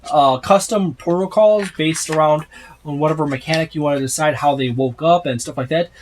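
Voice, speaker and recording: A man's voice: on the high side, slight background noise. A man in his thirties hosting a tabletop gaming video from a room full of game shelves, rambling through a rulebook with many fillers and restarts. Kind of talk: casual book overview. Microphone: special microphone, close.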